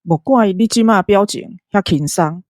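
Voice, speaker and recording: A woman's voice: medium pitch, moderate pace, darkish tone, no room sound, clean studio sound, close to an everyday voice. A woman with a Taiwanese accent playing a young adventurer and would-be strategist in an audio drama. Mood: curious. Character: young adventurer, self-styled strategist of the travelling trio.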